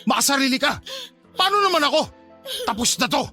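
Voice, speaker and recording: Agitated, fast-talking betrayed boyfriend. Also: male voice, extremely high pitch, big pitch swings, bright, forceful tone, soft background music, full character voice. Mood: angry, hurt. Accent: Filipino. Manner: accusing, agitated. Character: angry, frightened adult man betrayed by underage girlfriend. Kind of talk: Filipino radio drama acting.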